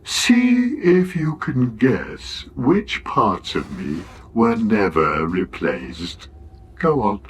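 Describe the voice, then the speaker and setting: A man's voice: sing-song, very slow, a little hiss or hum, heavily acted. A man playing an ancient, worn-out robot in a radio comedy drama, weary, doleful and sardonic, full of gloom and self-pity. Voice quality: low, weary drone.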